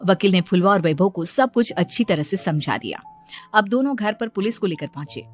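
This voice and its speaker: A woman narrates a cartoon moral tale as storyteller. Her voice sits at a middle pitch, with light music running underneath the scripted reading. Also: lively intonation, normal speed.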